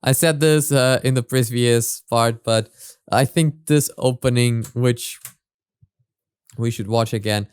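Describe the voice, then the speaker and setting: A man's voice: medium pitch, slow pace, darkish tone, clear, resonant tone, no room sound. A man hosting a reaction video, talking casually and analytically, thinking aloud with hesitant, repeated phrases.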